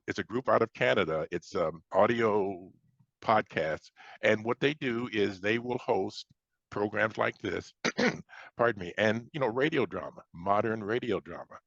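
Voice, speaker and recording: A man's voice: fairly low pitch, a bit bright, no echo, dull audio. An American man in his seventies from Chicago talking casually as an interview guest, hesitating and repeating words as he reminisces. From Chicago, United States. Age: 70s.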